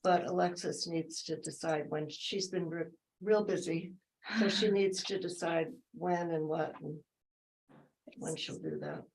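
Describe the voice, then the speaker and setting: A woman with a low, somewhat deep voice gives a casual report at a board meeting. She hesitates, fills pauses and corrects herself as she goes. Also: fairly even intonation, a little mellow, rough recording. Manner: hesitant, fillers and self-corrections. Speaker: library friends group treasurer.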